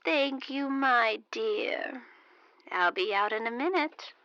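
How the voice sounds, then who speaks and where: An actress playing a genteel, cheeky, dotty elderly romance novelist in a comedic audio drama. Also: female voice, on the high side, animated, takes it slowly, pushed and bright, no room sound, lo-fi sound, full character voice.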